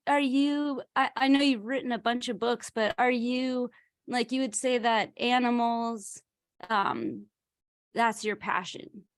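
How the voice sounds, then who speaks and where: A woman's voice: a little high, fairly even intonation, takes it slowly, no room sound, clean studio sound. A woman hosting a podcast, who laughs a lot, reacts with short eager words, and breaks in with apologies. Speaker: podcast host. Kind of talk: casual interview.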